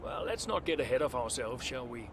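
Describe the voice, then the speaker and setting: A man playing a composed veteran racing driver in a video game, answering press questions in a measured, polite way. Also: medium pitch, sing-song, background noise. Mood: guarded. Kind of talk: press interview in game cutscene.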